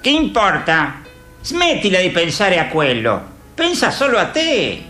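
A woman's voice: lowish voice, huge pitch swings, unhurried, fairly bright tone, full character voice. A woman playing a scolding, practical mother in a radio drama. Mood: impatient. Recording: roomy sound, faint music behind.

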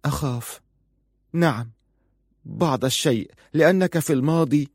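A man's voice: on the high side, lively intonation, brisk, darkish tone, no room sound, studio quality, voice pushed up. A man voicing a passionate, pleading and defiant young wife in audiobook dialogue, insistent and emotional. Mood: bitter, anxious. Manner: insistent, emotional, bitter at times.

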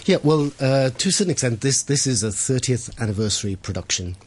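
A man's voice: medium pitch, lively intonation, a little fast, slightly rough. A male theatre director being interviewed on radio, explaining in a lecturing way with frequent restarts. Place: radio studio.

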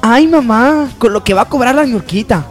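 Bossy, lively sister played by a woman for radio soap, animated high voice, faint music beneath. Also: moderate pace, lightly acted. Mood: eager. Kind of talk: amateur radio soap opera acting.

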